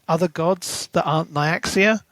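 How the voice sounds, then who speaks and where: A man's voice: fairly high pitch, unhurried, a bit bright, no echo. A man co-hosting a book podcast, chatting casually and enthusiastically, with plenty of ums and restarts. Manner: chatty, filler-heavy, enthusiastic. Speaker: podcast co-host.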